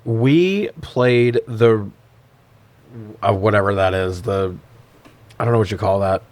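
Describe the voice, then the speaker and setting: A man's voice: fairly low pitch, slow, a little mellow. A middle-aged American man co-hosting a podcast in casual, self-deprecating, sometimes sweary banter. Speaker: podcast co-host.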